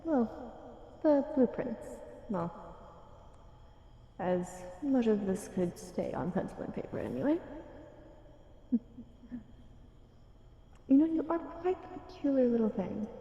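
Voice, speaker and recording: Audio roleplay fae librarian, female performer: ancient, courteous, eerie. Slightly high pitch, very soft mellow timbre, musing delivery, echo-laden voice. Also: big pitch swings, takes it slowly, long pauses, lo-fi sound, full character voice. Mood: helpful, amused, then teasing, intrigued. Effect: echo added to voice. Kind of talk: audio roleplay, intimate one-sided dialogue to listener. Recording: echoing voice with long reverb.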